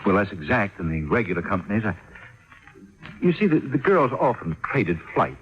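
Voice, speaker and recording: A flustered official stammers defensively in a lowish voice that swings up and down. It is vintage radio acting with dull, lo-fi sound. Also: male voice, very animated, moderate pace. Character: flustered, evasive male airline official making excuses. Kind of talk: old-time radio drama acting. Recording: dull lo-fi old radio recording.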